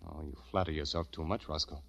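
An actor playing a lovesick, anxious young man who pleads haltingly in the emotional style of 1940s radio drama. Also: very deep voice, steady tone, vocal fry, no echo. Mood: nervous. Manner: halting, emotional, repeats himself when agitated. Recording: old radio recording, faint music.

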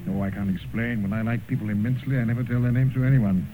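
An actor playing an earnest, protective, anxious painter who speaks confidingly in a radio drama. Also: male voice, medium pitch, steady tone, quick pace, natural voice. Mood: hesitant, confiding. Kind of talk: 1950s radio drama acting. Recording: narrow, noisy old radio recording.

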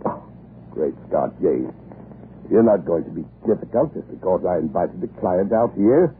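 Flustered British husband, swooping stammer. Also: male voice, low voice, animated, normal speed. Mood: indignant, blustering. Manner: stammering, hemming and hawing. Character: flustered, blustering upper-class husband hiding a secret. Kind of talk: 1930s radio drama acting. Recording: noisy, tinny 1930s radio broadcast.

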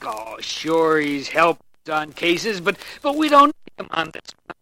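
An actor playing a gruff, stubborn, exasperated homicide sergeant in a 1940s radio detective drama, blustering and slangy as he argues. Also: fairly high pitch, big pitch swings, unhurried, sharp tone, no room sound, heavily acted. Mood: exasperated, sarcastic.

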